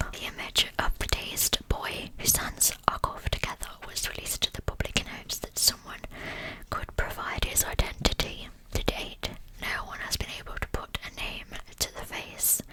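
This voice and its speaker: A woman narrating a scripted true-crime account as ASMR in a breathy pure whisper, with soft, deliberate, unhurried phrasing. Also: rough recording.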